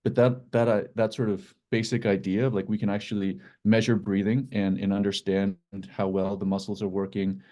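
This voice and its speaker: Low-voiced man, hesitant speaker. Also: level tone, mellow. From Iceland. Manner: hesitant, halting delivery with repeated words. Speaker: startup co-founder, guest. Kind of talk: informal explanatory talk about own work.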